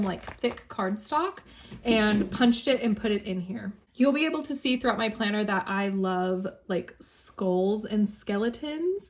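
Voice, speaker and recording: A woman with a slightly hoarse voice chatting enthusiastically as she shows her planner on camera, laughing now and then. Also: mid-range voice, slight background noise. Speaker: planner YouTuber. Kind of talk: casual planner flip-through.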